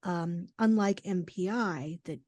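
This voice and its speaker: A woman in her forties, a podcast guest telling personal stories in a casual, animated way. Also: fairly low pitch, takes it slowly, no room sound. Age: 40s. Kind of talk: casual chat, personal storytelling.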